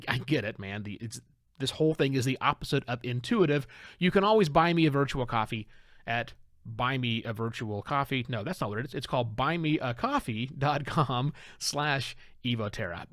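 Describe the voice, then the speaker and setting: A man's voice: mid-range voice, fairly bright tone, dry recording, studio quality. A middle-aged man with an American accent hosting a solo podcast, talking conversationally from an outline with self-deprecating asides.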